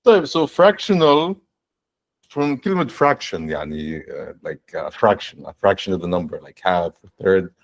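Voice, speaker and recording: A man in his forties, a guest on a video-call fireside chat, explaining his work in a relaxed way with frequent restarts and "right?". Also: low-pitched, normal speed, gravelly. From born in the United States, raised in Saudi Arabia and Canada. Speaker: guest, fractional CTO.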